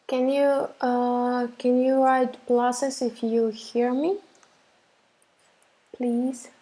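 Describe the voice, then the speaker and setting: A woman's voice: fairly high pitch, flat delivery, very slow, mellow. A woman in her thirties from Russia giving a live webinar on web development from a small room, speaking hesitantly with many fillers and restarts. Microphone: webcam on a laptop.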